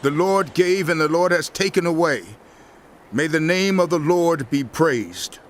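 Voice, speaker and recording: A man's voice: on the high side, unhurried, lightly acted. An actor playing a devout, grieving man of faith in a dramatized audio Bible, speaking in a fervent declamation. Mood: anguished devotion.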